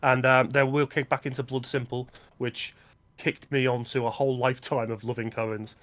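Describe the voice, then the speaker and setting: A man's voice: medium pitch, level tone, normal speed, a bit bright. A man co-hosting a film podcast, talking casually and with enthusiasm about movies he likes. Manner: enthusiastic, recommending.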